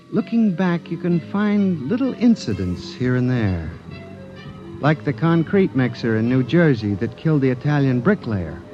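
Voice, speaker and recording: Male actor as anxious statistician hero of 1950s radio sci-fi; highly expressive, earnest, dulled vintage recording. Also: close to an everyday voice. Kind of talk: 1950s radio drama acting and narration. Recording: muffled vintage radio recording with music behind.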